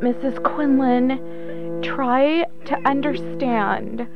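A woman's voice: high voice, lively intonation, extremely slow, dull audio, music in the background, exaggerated voice. An actress playing a forthright, combative woman activist in a radio play. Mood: concerned.

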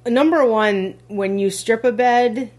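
A chatty woman on a casual podcast, her voice a little husky. She talks loosely, piling on fillers and laughing lightly as she explains. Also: on the high side, slow, a little mellow. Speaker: podcast co-host, rental host.

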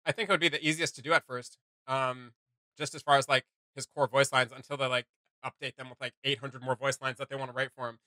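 A man's voice: medium pitch, level tone, fast talker, pushed and bright, dry recording. A young man chatting casually as a reaction video host, with loose, slangy delivery. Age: young adult. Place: small home room with desk, indoors. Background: music.

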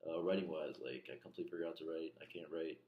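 A man's voice: very deep voice, quick pace, highs cut off. A young man from Iran whose first language is Farsi, answering interview questions in a hesitant, casual way with many fillers, recorded on a laptop in a small bedroom. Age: young adult. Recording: echoey room.

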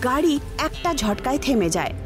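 Female horror-story narrator: dramatic scripted read, very animated intonation, clear music underneath. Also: high voice, moderate pace.

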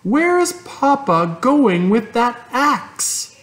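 A man voicing an eight-year-old farm girl who pleads in a shrill, outraged voice. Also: big pitch swings, drawn-out words, a bit bright, exaggerated voice. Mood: puzzled.

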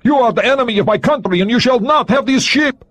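A man's voice: very high voice, lively intonation, a bit bright, no echo, pitched above the performer's own voice, exaggerated voice. A man voicing a frightened but defiant young male Soviet agent who speaks, sneering and quavering. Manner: sneering, quavering, slow and deliberate.

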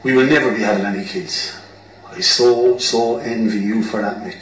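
A man's voice: fairly low pitch, fairly even intonation, normal speed, natural voice. Man voicing a wounded, hot-tempered Irish musician for radio drama, blunt, recorded at a distance. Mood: downcast. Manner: blunt, emotional, sweary. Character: hot-tempered, foul-mouthed, wounded Irish bandmate Kevin. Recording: roomy, distant mic, background noise.

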